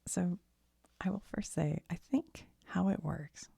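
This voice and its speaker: A woman host talking in a breathy, whispery, husky ASMR style, casually rambling through an explanation in a halting, self-deprecating way. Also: lowish voice, warm tone, dry recording.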